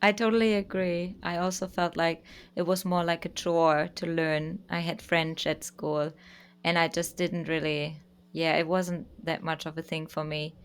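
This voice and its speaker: Woman host, smooth clear voice, a bit low, friendly and encouraging. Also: little pitch movement, a little slow. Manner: warm and encouraging, laughs often. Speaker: interview host. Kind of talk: friendly casual interview.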